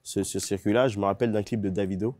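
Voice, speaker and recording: A man's voice: on the low side, steady tone, fast talker, mellow. A man on a talk show panel, speaking earnestly with frequent hesitations. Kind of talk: casual talk show commentary.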